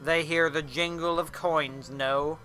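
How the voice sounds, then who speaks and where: Weaselly storybook cobbler man, acted by a reader, greedy, sly, wheedling, folksy, slow and drawn out. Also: medium pitch, steady tone, pushed and bright, faint music behind. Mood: greedy, gloating.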